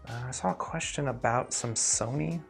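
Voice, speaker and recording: A man with a medium-pitched voice hosts a casual live review stream. He talks conversationally and thinks aloud while answering questions from viewers. Music plays behind his voice. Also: moderate pace, creaky. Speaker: host of a livestream reviewing earphones.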